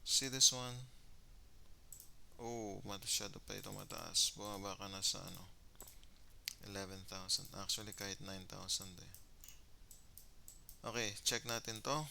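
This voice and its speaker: Filipino male gamer, low creaky voice, clean and crisp, casual thinking-aloud commentary in mixed Tagalog and English. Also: sharp tone. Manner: mixes Tagalog with English phrases. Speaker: gamer narrating his own gameplay.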